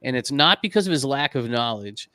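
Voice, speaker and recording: Bright, clear-voiced man telling stories. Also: mid-range voice, expressive, brisk, no room sound. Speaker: podcast co-host. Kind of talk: casual storytelling chat.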